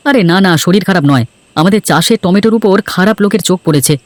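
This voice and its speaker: A man's voice: sits high, fast talker, no room sound, natural voice. A man voicing a worried village farmer and husband in a cartoon story, speaking earnestly and hurriedly.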